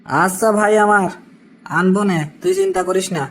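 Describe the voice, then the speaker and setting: A man's voice: high voice, steady tone, normal speed, a little hiss or hum, lightly acted. A man voicing a weary, dutiful young worker living abroad in a cartoon, with a Bangladeshi accent. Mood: polite, obliging. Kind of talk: cartoon dialogue.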